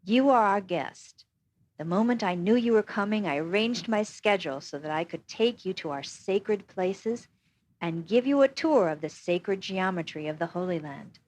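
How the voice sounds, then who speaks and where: A woman voicing a calm older Swiss man. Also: mid-range voice, lightly acted, rough recording. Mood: patient. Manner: calm, unhurried, reassuring.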